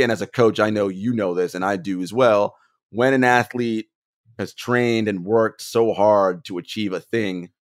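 A man co-hosting a sports podcast, telling stories in an animated, casual way and laughing often. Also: lowish voice. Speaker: podcast co-host.

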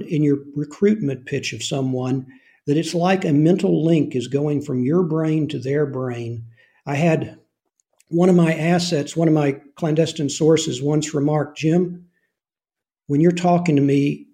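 A soft-spoken man, an author and former intelligence officer, answering interview questions in an earnest way. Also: a little high, gravelly.

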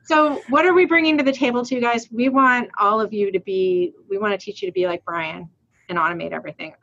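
A woman's voice: medium pitch, fast, fairly bright tone, dry recording. A chatty woman co-hosting a podcast, who jumps between thoughts and laughs easily. Her voice sounds dry and close, with slightly dull lo-fi audio. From Rhode Island, USA. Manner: chatty, laughs often, many restarts. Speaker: podcast co-host. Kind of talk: casual podcast chat.

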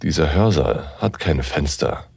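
A man playing a dominant, seductive professor in an intimate audio drama, speaking slowly and deliberately, at times hushed and breathy. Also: rumbling low, lively intonation, dry recording, exaggerated voice. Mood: aroused, hushed. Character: dominant male university professor, seductive, teasing, commanding lover. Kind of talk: intimate second-person erotic audio drama narration.

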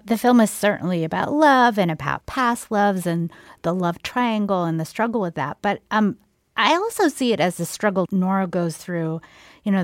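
A woman's voice: fairly low pitch, no echo, studio quality. A woman interviewer talking conversationally, reflective and sharing personal thoughts. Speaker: radio interviewer.

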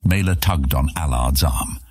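Deep, husky male story narrator. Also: measured pace, darkish tone, no echo, studio quality. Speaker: audiobook narrator. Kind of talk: scripted fantasy story narration.

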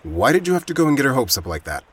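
A man's voice: mid-range voice, big pitch swings, very fast, slight background noise, natural voice. A man playing an anxious, rule-abiding young adventurer with a fretful manner in an audio drama. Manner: fretful, exasperated.

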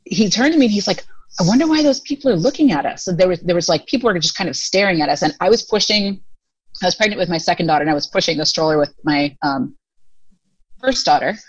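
A woman's voice: fairly low pitch, fast talker, slightly rough, no echo, muffled recording. An American woman in her thirties telling stories as a podcast guest, talking casually with lots of fillers and laughs. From United States. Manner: chatty, many fillers, laughs often. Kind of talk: casual storytelling interview.

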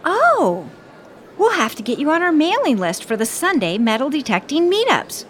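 Flirty chatty small-town lady, high lively voice, audio drama. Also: moderate pace. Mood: friendly, chatty.

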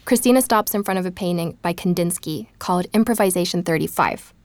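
A woman's voice: fairly low pitch, brisk, no echo, natural voice. An actress playing a curious, candid woman podcaster, narrating an audio drama like a conversational, reflective diary. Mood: explanatory. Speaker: narrator and interviewer.

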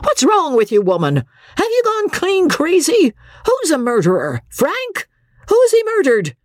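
A woman voicing a raging older riverboat showman who shouts in a squeaky falsetto. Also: sing-song, moderate pace, dry recording, studio quality. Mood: furious. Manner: sputtering, shouting in fury.

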